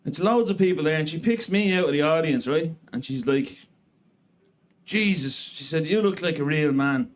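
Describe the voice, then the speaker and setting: A man in his thirties with an Irish accent, doing a deadpan, self-mocking stand-up set in a comedy club. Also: on the high side, normal speed. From Ireland. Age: 30s. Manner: deadpan self-mocking delivery with crude punchlines. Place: comedy club stage before a live audience.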